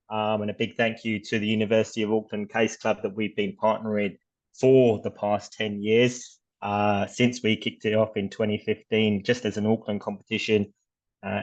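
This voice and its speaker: A man hosting an online student competition on a video call, speaking hesitantly with many fillers. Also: on the low side. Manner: hesitant, lots of uh and um. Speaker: event host, sponsor representative. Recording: dull lo-fi video call audio.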